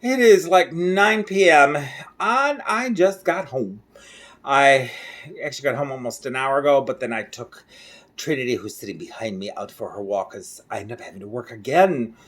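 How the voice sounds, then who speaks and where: A man's voice: mid-range voice, normal speed, a bit bright. A middle-aged man from Mexico with an American accent, talking casually and a little ramblingly in a daily video diary recorded at home, often laughing at himself. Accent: General American. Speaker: vlogger.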